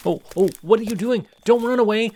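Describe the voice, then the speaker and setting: A man's voice: sits high, brisk, a little mellow. A man playing a lonely, awkward skeleton. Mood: alarmed. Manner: rambling, sheepish, over-apologetic. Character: friendly awkward male skeleton, lonely and eager. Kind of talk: audio roleplay to a listener.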